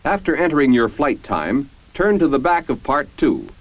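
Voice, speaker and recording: An American man reading scripted 1950s military training-film narration in a formal, measured, instructional manner. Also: medium pitch, very animated. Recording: dull, band-limited 1950s film soundtrack.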